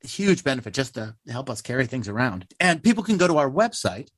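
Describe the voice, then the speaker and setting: A man's voice: medium pitch, sing-song, fast talker, a bit bright, no room sound. A man who works as a playwright in a theater troupe, explaining things casually in an interview.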